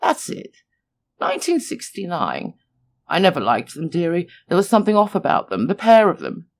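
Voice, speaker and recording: A woman's voice: on the low side, sing-song, moderate pace. Woman acting a gossipy, conspiratorial old widow. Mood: gossipy, wistful. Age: older. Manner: meandering, hesitant, addresses listener fondly. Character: elderly gossipy widow neighbour, conspiratorial, doting. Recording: music under the voice.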